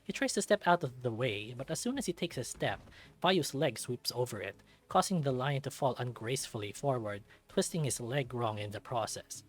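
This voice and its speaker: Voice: mid-range voice, expressive, normal speed. A narrator reading a visual novel aloud in a dramatic storytelling style, with music behind. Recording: background music under the voice. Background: game music.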